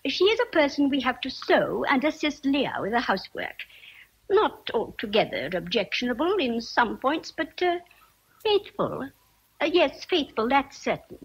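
Fluttery, high-voiced housekeeper. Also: female voice, sing-song, normal speed, husky, exaggerated voice, rough recording. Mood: evasive. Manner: chatty, hesitant. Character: fussy, kindly older housekeeper. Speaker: actor. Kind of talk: radio drama acting.

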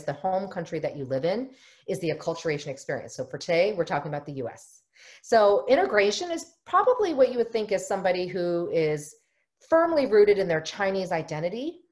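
A woman with a lowish voice leads a parenting workshop over a video call, speaking into her webcam from a home office. She is middle-aged and talks in an earnest, explanatory way. She often slips into short imitations of other people. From United States. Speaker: family therapist leading a workshop. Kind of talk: online workshop presentation.

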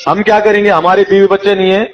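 A high-voiced man with a Hyderabadi accent speaks on a dull, lo-fi phone recording. He argues indignantly and insistently, throwing out one rhetorical question after another. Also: flat delivery, fast talker, faint music behind. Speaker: local party politician. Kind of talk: heated phone conversation. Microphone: phone call.

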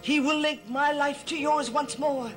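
A middle-aged actress playing a commanding, fanatical servant of dark powers in a gothic television soap, speaking solemnly. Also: female voice, high-pitched, steady tone, a little slow. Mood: incantatory. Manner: imperious, incantatory, solemn. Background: dramatic music.

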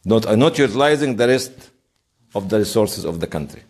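Animated man lecturing a class. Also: on the low side, a little fast. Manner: emphatic storytelling with rhetorical questions. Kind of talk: informal interactive classroom lecture.

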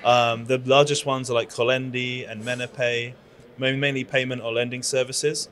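A man's voice: medium pitch, level tone, normal speed, a bit bright, slight background noise. A man in his thirties with a British accent, a conference founder talking eagerly in an interview, with plenty of fillers and restarts, into a handheld mic at a conference booth. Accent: British English. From London. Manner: chatty, enthusiastic, many fillers and restarts. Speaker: conference founder and PR agency owner. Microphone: handheld microphone.

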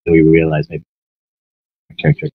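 Deep-voiced man on a muffled phone-like line. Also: sing-song, fairly quick, no room sound. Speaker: podcast interviewer. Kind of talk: casual interview chat.

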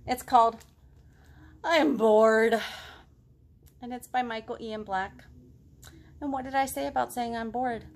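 A woman in her thirties talking to young children as a storytime librarian, expressive, with simple questions and pauses. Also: a little high, gravelly. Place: home living room.

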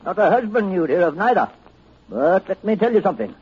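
A sly, half-mad old country grandfather in a vintage radio play. His voice is husky and jumps about, with boastful rustic speech. The recording is dull and old. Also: high voice, very animated, fairly quick, exaggerated voice. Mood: sly boasting. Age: older. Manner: folksy rustic dialect, secretive boasting. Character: half-insane old rural New England wizard grandfather, boastful. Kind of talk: 1940s radio acting.

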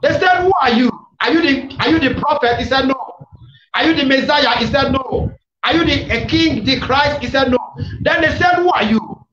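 Shouting high-pitched male preacher. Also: recorded from a distance. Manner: shouted, forceful, repetitive delivery. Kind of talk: fiery Pentecostal sermon with prayer declarations. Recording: echoey, dull, noisy room recording.

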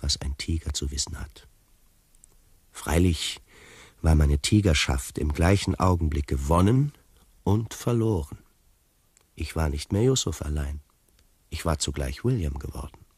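A fastidious tiger narrating a radio play. The voice is low and husky, recorded dry. He is measured, formal and quietly ironic. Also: dry recording, exaggerated voice. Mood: solemn. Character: wry, fastidious male tiger recounting his escape.

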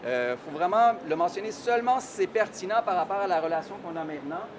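A middle-aged man with a Québécois accent presents to an audience in a large exhibition hall, speaking into a handheld microphone. His voice sits high for a man, and he often pauses on "euh" as he explains. A clear wash of hall noise runs behind him. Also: animated. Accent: Québécois French. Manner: explanatory, frequent hesitations with "euh". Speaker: immigration consultant. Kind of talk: conference presentation.

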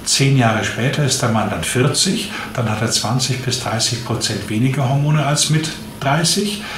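A man in his late fifties giving measured explanations as a doctor being interviewed. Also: mid-range voice. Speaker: interviewed physician. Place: small indoor room, seated at a desk. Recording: echoey room with clear background noise.

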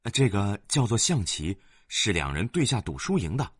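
A man's voice: fairly low pitch, very animated, moderate pace, husky, no echo, clean studio sound. A male storyteller voicing a household servant who explains and exclaims in a raised voice. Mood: explaining. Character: older household servant, startled.

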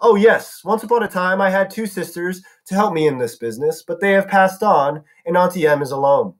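A man voicing a lonely, sweetly sinister old woman who makes garden statues, speaking in a soft, coaxing, formal way. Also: fairly high pitch, sing-song, normal speed.

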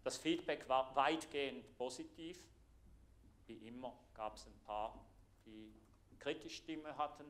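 A middle-aged man from Thurgau with a Swiss German accent, giving an earnest conference presentation in a lecture room with plenty of filler pauses. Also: mid-range voice. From Thurgau, Switzerland. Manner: earnest, explanatory, pausing with fillers. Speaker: IT architect giving a conference talk. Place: lecture room, standing before a projected slide.

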